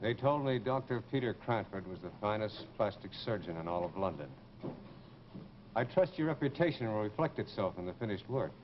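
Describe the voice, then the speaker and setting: A man's voice: lowish voice, animated, fairly quick, lightly acted. An actor playing a bitter, secretive, menacing man in an old-time radio horror drama, speaking formally and coldly. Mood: cold, guarded. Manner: cold, formal, insistent. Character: bitter, menacing, secretive former disfigured patient. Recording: muffled, noisy old radio recording.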